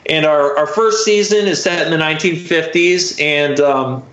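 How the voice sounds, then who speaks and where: An American man chatting casually as a podcast guest, speaking in halting phrases with drawn-out hesitations. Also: on the high side, a bit bright, reverberant, low-quality recording. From Florida, United States. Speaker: podcast guest, audio drama writer. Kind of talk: casual interview chat.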